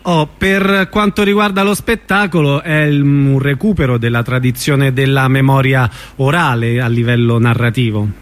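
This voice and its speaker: A man's voice: medium pitch, steady tone, moderate pace, hardly any pauses. A man hosting a conversational radio interview.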